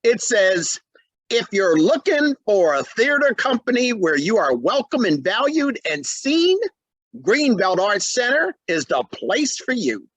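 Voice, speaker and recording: A man's voice: high voice, big pitch swings, a little slow, a bit bright, no echo, heavily acted. A man acting a genial family friend with salesman-like patter in an old-time radio comedy. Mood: salesmanlike.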